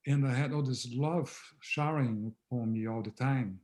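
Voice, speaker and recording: A man whose first language is Portuguese, speaking softly and reflectively on a video call as a guest founder of a therapy method. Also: medium pitch, normal speed. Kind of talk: casual conversation on a video call.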